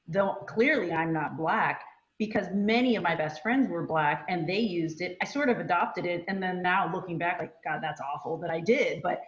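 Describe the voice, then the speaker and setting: A woman whose first language is Spanish, sharing memories reflectively in a casual panel discussion, with many ums and you-knows. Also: fairly low pitch. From United States. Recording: echoey, dull lo-fi sound.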